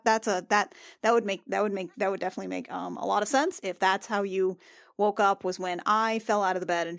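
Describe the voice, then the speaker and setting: A woman playing a flustered, awkward adventurer in a tabletop game, halting, repetitive and over-insistent. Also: mid-range voice, lightly acted. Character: flustered, awkward young adventurer hiding embarrassment.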